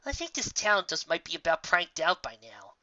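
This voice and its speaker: A woman's voice: fairly low pitch, huge pitch swings, normal speed, pushed and bright, dry recording, lo-fi sound, heavily acted. A woman voicing a vain, casual tomboy pegasus prankster in a storybook. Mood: uneasy.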